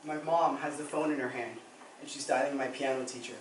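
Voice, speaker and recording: A man's voice: medium pitch, fairly even intonation, fairly quick, natural voice. A young man performing a withdrawn, regretful teenager in a confessional dramatic stage monologue. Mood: subdued, regretful. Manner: subdued, confessional. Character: regretful, withdrawn teenager haunted by missing a funeral. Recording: echoey room, distant mic, noisy.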